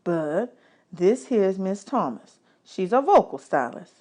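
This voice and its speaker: A woman's voice: medium pitch, big pitch swings, slow, darkish tone. Woman reader doing a folksy, affable bandsman. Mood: friendly.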